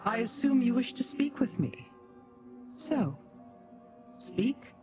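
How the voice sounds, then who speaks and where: Imperious demon lady from a fantasy game, haughty n formal, swoopy pitch, music behind. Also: lively intonation, takes it slowly, warm tone. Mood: composed. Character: proud, cold female Dremora demon, aloof and imperious. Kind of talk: video game character dialogue.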